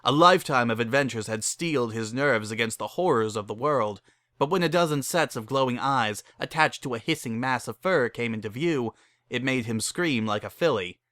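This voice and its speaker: A man's voice: mid-range voice, expressive, normal speed, fairly bright tone, no echo. A man reading a scripted story aloud as a dramatic narrator.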